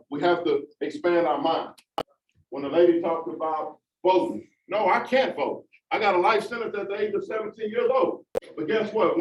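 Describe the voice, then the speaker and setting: A man speaks out with fervent, repetitive emphasis, his voice pitched high. He is caught from a distance in a roomy hall on a dull, muffled recording, with a faint hiss behind him. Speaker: formerly incarcerated community speaker. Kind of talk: impassioned panel remarks. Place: large meeting room.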